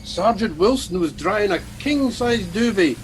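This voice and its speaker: A man's voice: high voice, animated, unhurried, slight background noise. A man playing a gloomy, evasive starship engineer with a Scottish accent in a comic radio play. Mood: sheepish. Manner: doom-laden, evasive.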